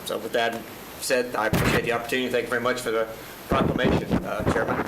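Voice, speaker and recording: A man in his fifties with a big, loud voice, a veteran giving casual, grateful thank-you remarks at a county meeting. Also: medium pitch, level tone, fast, heavy background noise. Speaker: veteran receiving a proclamation. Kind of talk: informal thank-you speech. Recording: roomy chamber with crowd around.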